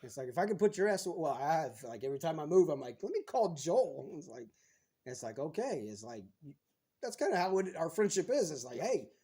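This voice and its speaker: A man in his thirties speaks as a podcast guest in frank, casual chat, swearing freely. His voice is husky and mellow, pitched a little high for a man. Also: very animated, fairly quick.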